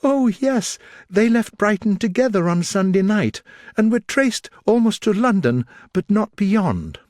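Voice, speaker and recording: A man's voice: very animated, measured pace, darkish tone, dry recording, clean studio sound. A man voicing a frantic, tearful young lady. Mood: anguished. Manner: urgent, pleading, high and breaking. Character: distraught young gentlewoman, anxious and tearful.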